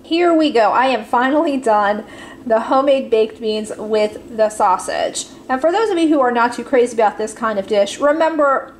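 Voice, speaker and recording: A woman with a somewhat high voice walks through budget recipes in a relaxed, step-by-step way, pausing with casual fillers. Faint background noise sits under her voice. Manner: friendly step-by-step instructions with fillers. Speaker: cooking channel host. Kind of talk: casual cooking voice-over. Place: home kitchen.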